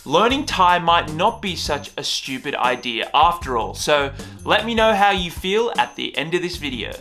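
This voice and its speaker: Aussie guy vlogging, wry and jokey, slightly high bright voice. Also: big pitch swings, moderate pace, soft background music. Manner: wry, joking, opinionated. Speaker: YouTuber. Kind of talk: casual explainer vlog.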